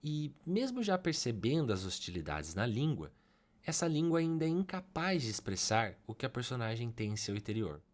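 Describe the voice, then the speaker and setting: A man with a Brazilian Portuguese accent narrating a scripted literary analysis in a measured, academic way, with emphases that rise on key ideas. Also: medium pitch, big pitch swings.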